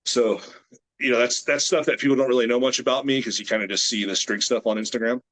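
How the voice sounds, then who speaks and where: A man in his late thirties, a grip strength athlete from the United States, chatting as a guest on a video call in a relaxed, rambling way with many fillers. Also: mid-range voice, quick pace. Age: 30s. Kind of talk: casual interview chat.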